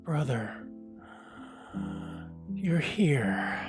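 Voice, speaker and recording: A man playing a gentle, fatherly old mouse who is dying, slow and weary, in an audio drama. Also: medium pitch, big pitch swings. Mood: weary, tender. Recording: loud music under the voice.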